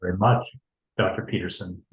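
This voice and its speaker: A man from Canada with a low voice, a theologian giving a measured prepared talk on a panel. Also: fairly even intonation, echoey room, low-quality recording. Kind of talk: prepared lecture.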